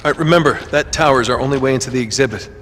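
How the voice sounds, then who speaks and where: A man's voice: mid-range voice, rapid-fire, a little hiss or hum, natural voice. A man acting an easygoing adventurer thief in an action game, with a dry, casual, wisecracking manner. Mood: businesslike. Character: easygoing, wry male adventurer thief, improvising rogue. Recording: long reverb, loud music behind.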